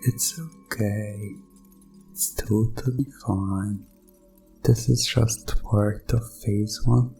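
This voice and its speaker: A man playing a sinister doctor in a hypnosis ASMR roleplay, soothing and hypnotic, repeating himself with calm menace. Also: fairly low pitch, gravelly, heavily acted. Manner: slow, soothing, hypnotic, repetitive.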